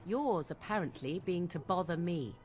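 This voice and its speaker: A woman's voice: on the low side, animated, moderate pace, over music, lightly acted. An actress playing an aloof, sardonic young witch who speaks coolly and precisely. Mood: dry. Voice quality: cool, disdainful, precise.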